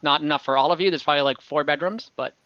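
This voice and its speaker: A man acting as game master, describing scenes conversationally and haltingly, often rephrasing as he improvises. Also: a little high, no room sound, dull audio. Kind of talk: improvised narration of an online tabletop game.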